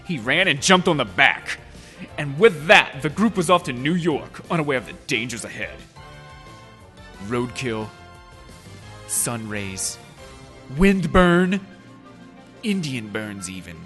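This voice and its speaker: A man's voice: mid-range voice, sing-song, takes it slowly, pauses often, sharp tone, faint music behind. A man narrating an amateur comedic story in a mock-dramatic manner.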